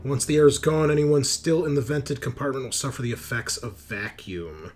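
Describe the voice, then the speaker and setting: A man's voice: mid-range voice, expressive, moderate pace, a little mellow. A man acting as game master, narrating casually and reading out rules with informal, dramatic emphasis. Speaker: game master of a tabletop roleplaying game.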